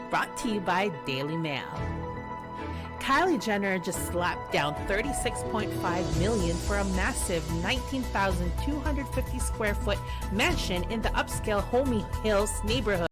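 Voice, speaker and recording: A woman co-hosting an online entertainment show, reading showbiz news and chatting. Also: mid-range voice. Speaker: co-host and entertainment news reader. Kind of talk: entertainment news reading and casual co-host chat.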